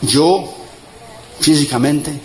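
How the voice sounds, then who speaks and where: A man in his fifties, an evangelist preaching a sermon, tired, emphatic, mixing jokes with loud rising exhortation. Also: a little high, very slow. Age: 50s. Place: church in front of a congregation. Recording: echoey church with crowd and noise.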